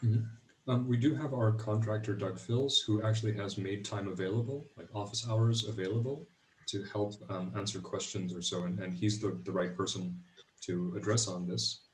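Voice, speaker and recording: A man on the project team explaining things calmly in an online meeting, heard in an echoey room away from the mic. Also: fairly low pitch, normal speed. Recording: echoey room, far from mic, faint noise.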